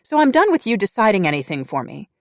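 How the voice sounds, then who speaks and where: A narrator voicing a fiery, defiant young woman in an audiobook, speaking high and loud. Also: very animated, quick pace, no echo, studio quality. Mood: exasperated. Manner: high-pitched, loud, indignant.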